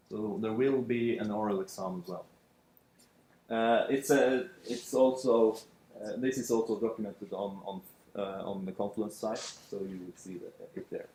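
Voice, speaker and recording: A man's voice: on the low side, mellow. A man with a Norwegian accent leading a seminar, talking loosely with many fillers. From Norway. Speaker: lecturer. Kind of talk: seminar introduction. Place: lecture room. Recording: echoey classroom.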